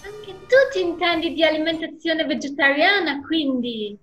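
A woman acts an earnest young woman in a comic radio soap. Her voice is very high and sharp, echoing over a video call. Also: moderate pace, soft background music. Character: earnest American vegetarian woman. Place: home kitchen.

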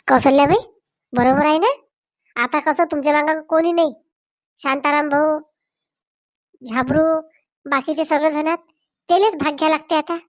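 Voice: high-pitched, dry recording. A performer voicing earnest village elders in a comedy cartoon, coaxing and addressing people by name. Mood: insistent. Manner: coaxing, repeats names when addressing people. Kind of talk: Marathi comedy cartoon dialogue.

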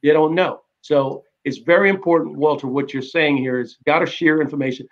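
A middle-aged man on a live video-call panel, explaining in a measured way and checking with "right?". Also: fairly high pitch, steady tone. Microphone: video call webcam. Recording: dull webcam sound.

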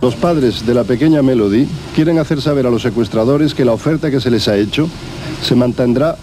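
Mellow Spanish man reading formal statement. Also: mid-range voice, brisk. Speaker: spokesman. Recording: archive statement, faint noise.

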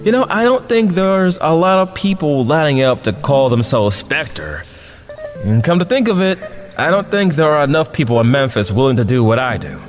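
A man's voice: on the high side, sing-song, normal speed. A man playing an awkward, earnest young captive superhero in an audio drama, speaking hesitantly. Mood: casual, modest. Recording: long reverb over faint music.

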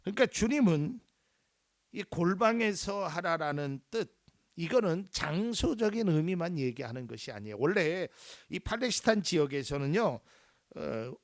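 A male pastor preaching a sermon in a lively way, acting out little quoted voices and dropping at times to a near whisper. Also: a little high, moderate pace, no room sound, clean studio sound.

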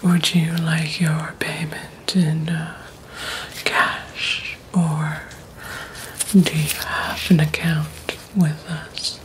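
A woman in her thirties doing soft-spoken ASMR, playing a mysterious, polite vampire bank teller in a hushed, deliberate manner, close to the microphone. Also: sits low, level tone, noisy background. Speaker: ASMR roleplayer.

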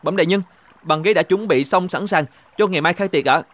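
A man voicing a deferential officer in an animated folk tale, briskly reporting to his superior. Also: sits high, no echo. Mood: dutiful, earnest. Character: dutiful, deferential male officer serving the governor.

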